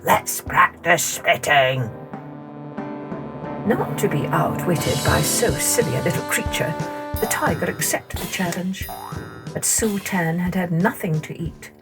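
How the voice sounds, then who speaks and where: A woman narrating scripted children's folktales as a storyteller, in an expressive, measured way. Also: lowish voice, over loud music. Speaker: storyteller, podcast host.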